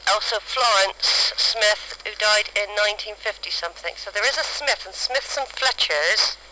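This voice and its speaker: A woman recording halting, spontaneous field notes outdoors on a cassette recorder, describing things piece by piece. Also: medium pitch, pushed and bright. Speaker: amateur family historian recording an audio diary. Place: outdoors in a cemetery. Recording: faintly noisy amateur cassette recording.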